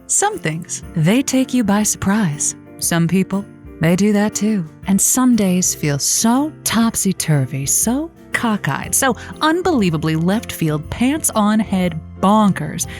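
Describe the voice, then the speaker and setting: A woman's voice: mid-range voice, very animated, slow pace, faint music behind. A woman playing a playful, flirty late-night radio host, theatrical and teasing, in a comedic radio drama. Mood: playful, upbeat.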